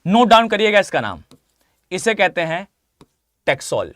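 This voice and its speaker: A hoarse man with an Indian accent teaching a live online class, often asking students to reply. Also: high voice, sing-song, a little slow, fairly bright tone, dry recording, studio quality. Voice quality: mixes Hindi with English phrases. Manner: addresses students directly, asks them to reply.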